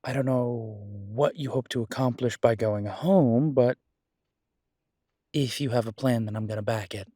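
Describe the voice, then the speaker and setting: A man playing a devoted, stubborn, protective werewolf detective in an intimate audio roleplay, speaking earnestly and insistently with emphatic pauses. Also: mid-range voice, dark tone, no room sound, studio quality, lightly acted. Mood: tender, resolute. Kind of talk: dramatic roleplay audio acting, intimate one-sided dialogue.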